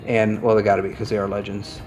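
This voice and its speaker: A man's voice: fairly low pitch, level tone, fast talker, darkish tone. A man presenting an unscripted product review, rambling in an easygoing way as he thinks aloud while handling items, with music behind him.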